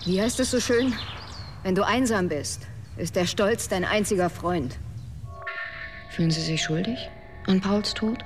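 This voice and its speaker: An actress playing a cool, needling investigator and writer in a German radio drama, with dry, probing standard German. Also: female voice, mid-range voice, unhurried, pauses often, over music, close to an everyday voice.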